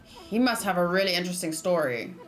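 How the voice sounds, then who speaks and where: Give me a woman casually reacting to a trailer, rambling and self-correcting, with soft music in the background. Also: on the low side, lively intonation, a little fast. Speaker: streamer reacting to a game trailer.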